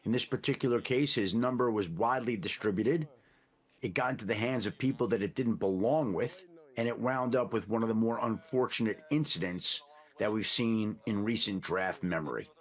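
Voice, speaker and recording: A man speaking as a sports commentator, choosing his words in a measured, deliberate way. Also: lowish voice. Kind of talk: interview commentary.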